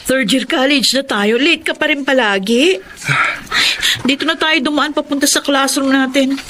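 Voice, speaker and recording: A young Filipina college woman from the province, guarded and easily flustered. Her voice sits fairly high, delivered as radio drama, with clear noise behind it. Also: moderate pace, fairly bright tone. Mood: exasperated.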